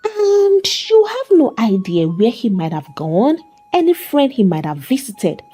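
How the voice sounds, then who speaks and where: A woman voicing a calm, methodical male police officer in a dramatic story, formal and probing. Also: a little high, a little slow, husky, faint music behind, voice pushed up, heavily acted.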